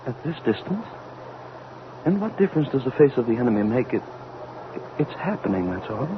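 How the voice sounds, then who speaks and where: A man's voice: mid-range voice, very animated, brisk, lightly acted. Guy doing somber resigned scientist on a spaceship, old radio play, restrained, muffled recording w faint noise. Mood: grave, resigned. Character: grave, resigned scientist doctor aboard a spaceship. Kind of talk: old-time radio drama acting.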